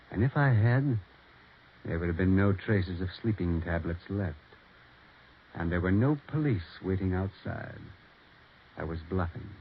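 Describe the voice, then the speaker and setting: An actor playing a calm, canny man in a 1940s radio mystery. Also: low voice. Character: calm, canny man admitting his clever bluff. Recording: tinny, noisy 1940s radio recording.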